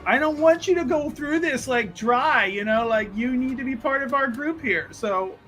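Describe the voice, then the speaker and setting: Man playing a high-pitched, cocky party ringleader. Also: animated, normal speed, fairly bright tone, soft background music. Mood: pushy. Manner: pushy, hyping, casual swearing.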